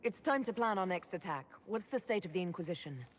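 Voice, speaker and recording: A woman's voice: fairly low pitch, lively intonation, brisk, slight background noise, lightly acted. A woman playing a confident, decisive leader in a fantasy game, composed and direct. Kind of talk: dramatic fantasy game acting.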